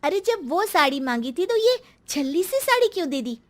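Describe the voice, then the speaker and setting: A woman acts out a sharp-tongued college-age daughter in a cartoon story. Her voice is pushed very high. She is spoiled and demanding, complaining and mocking as she talks. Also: big pitch swings, normal speed. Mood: annoyed, mocking.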